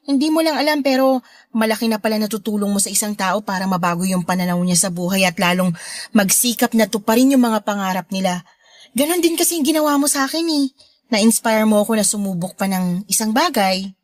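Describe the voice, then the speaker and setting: An actress playing a supportive, affectionate married older sister in a Tagalog radio drama, with a Filipino accent. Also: female voice, mid-range voice, a little fast, dry recording, close to an everyday voice. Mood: proud, cheerful. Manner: encouraging, affectionate.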